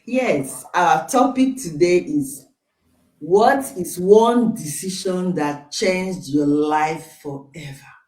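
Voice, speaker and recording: A woman from Nigeria hosting a live online talk show, speaking slowly and formally as she welcomes viewers. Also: lowish voice, a little mellow, echoey room, recorded from a distance, low-quality recording. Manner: slow, deliberate, formal welcomes.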